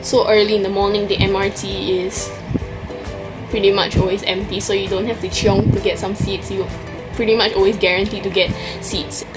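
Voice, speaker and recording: Young Singaporean woman vlogger, casual question-tag chat, Singaporean English accent, music playing underneath. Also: mid-range voice. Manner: chatty, answers questions from a list.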